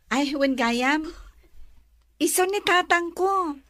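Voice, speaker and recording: A woman's voice: high-pitched, expressive, drawn-out words, sharp tone, dry recording, clean studio sound, full character voice. A woman playing a polite, eager young student from the countryside in a radio drama. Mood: welcoming. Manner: deferential, eager.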